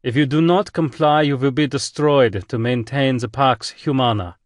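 Audiobook villain: a man playing an imperious admiral, thick German accent, booming, raised pitch. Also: normal speed, no room sound, studio quality, natural voice. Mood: threatening.

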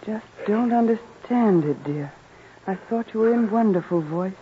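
A woman plays a doting mother in an old radio drama. She soothes and coaxes with lively swings of pitch, on a muffled recording. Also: measured pace, noisy background, natural voice. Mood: soothing. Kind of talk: old-time American radio acting. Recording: muffled old radio, crowd murmur behind.

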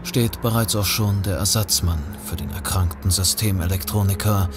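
A man's voice: low voice, fairly even intonation, normal speed, over music, lightly acted. A man reading scripted narration for a thriller audio drama. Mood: measured. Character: male narrator of a polar thriller.

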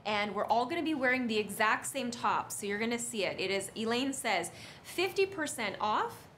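A woman's voice: fairly high pitch, normal speed, slight background noise. A woman, presenting fashion on a live sales stream in a chatty, effusive way. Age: 30s. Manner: animated, effusive. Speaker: presenter and model on a fashion shopping livestream. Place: indoor studio with brick wall, standing back from camera.

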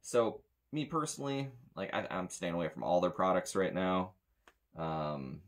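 Low, creaky-voiced American man, grumbling. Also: lively intonation, normal speed. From United States. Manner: grumbling, blunt. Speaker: sound tech reviewing audio gear. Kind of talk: casual, rambling product review to camera. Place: small indoor room with metal shelving.

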